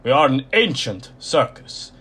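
A man voicing an ancient circus ringmaster, low and croaky, announcing the show in a menacing, theatrical way. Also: very animated, measured pace, a bit bright, slight background noise. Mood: ominous, grand.

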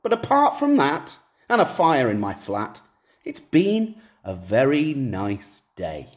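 A poetry reader reciting comic verse with a lively, expressive delivery. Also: medium pitch, slow pace.

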